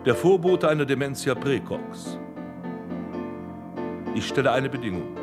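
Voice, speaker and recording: Bedrohlicher, herrischer Arzt und Verführer. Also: male voice, mid-range voice, big pitch swings, music in the background. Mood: cold, commanding. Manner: clipped commands, slow dramatic pauses. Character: menacing, domineering hypnotist doctor, sinister seducer and villain. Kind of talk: German radio drama acting.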